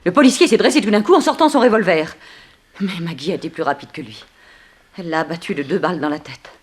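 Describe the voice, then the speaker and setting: Casting: refined, earnest prisoner for vintage radio serial, lowish voice, rapid dramatic delivery. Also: female voice, animated, husky. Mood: dramatic, urgent. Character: earnest, refined captive woman pleading for trust. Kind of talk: 1960s French radio drama acting.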